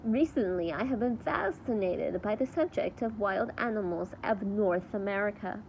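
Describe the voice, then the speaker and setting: A woman's voice: mid-range voice, animated, moderate pace, creaky, a little mellow, a little hiss or hum, rough recording. A woman voicing a bookish, polite young orphan boy in a children's storybook. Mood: eager, informative.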